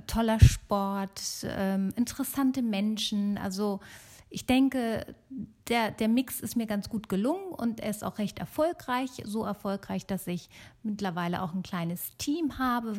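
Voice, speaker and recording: A woman's voice: medium pitch, a little mellow. A middle-aged woman from Germany talking casually and alone as a podcast host.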